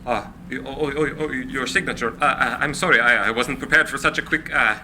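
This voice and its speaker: A man's voice: medium pitch, a little fast, bright, forceful tone, slight background noise. A man acting an eccentric inventor in a live script reading, eager, courtly and theatrical. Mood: flustered, ingratiating.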